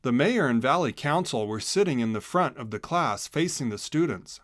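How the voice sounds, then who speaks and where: A man's voice: mid-range voice, animated, moderate pace, fairly bright tone, no room sound, clean studio sound. A man narrating a children's story in a scripted read-along style.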